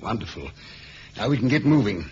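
A man playing an anxious, hurried intelligence chief in a 1970s radio spy drama. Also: fairly low pitch, very animated, a little mellow. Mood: urgent, relieved. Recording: muffled old radio recording, faint noise.

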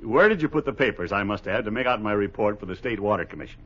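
Casting: pompous middle-aged official, flustered and blustery, widely swooping pitch, vintage radio comedy. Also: male voice, lively intonation, fast talker, fairly bright tone. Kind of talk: 1950s radio comedy acting. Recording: dull, faintly noisy old radio recording.

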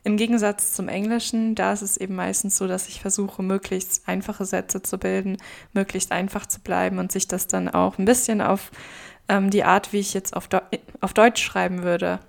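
Young female podcast co-host, raised bilingual in German and Russian, calm level delivery, thinks aloud with many fillers. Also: medium pitch, moderate pace, creaky. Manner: thoughtful, many hesitant fillers. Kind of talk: casual conversation.

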